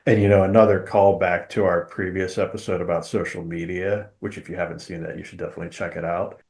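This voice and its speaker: Man, low-pitched voice, podcast host, measured, articulate, conversational. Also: little pitch movement, gravelly, a little mellow.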